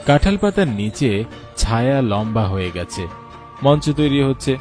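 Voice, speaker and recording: A man narrating a scripted horror story in a measured, solemn manner. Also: medium pitch, sing-song, darkish tone. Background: music bed.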